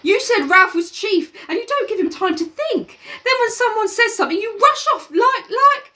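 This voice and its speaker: Woman doing a shrill schoolboy, indignant and anxious, pleading and breathless, bright sharp tone, very lively pitch. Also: moderate pace, full character voice. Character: indignant fussy schoolboy Piggy, whiny and anxious.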